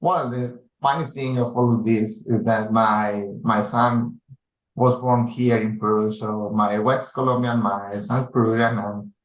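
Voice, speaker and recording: A man from Spain's Basque Country, a chief data officer, speaking as a guest on a video call with many thoughtful pauses and fillers. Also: lowish voice, steady tone, echoey room, poor audio. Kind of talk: casual interview talk. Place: home or office in Lima.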